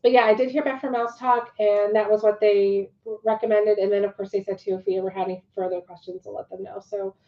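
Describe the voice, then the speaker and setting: A woman co-hosts a livestream in loose, casual chat at an average female pitch. Her voice is starting to go from allergies. The audio is dull and low in fidelity. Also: little pitch movement, fast, few pauses. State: losing voice from allergies. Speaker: livestream co-host.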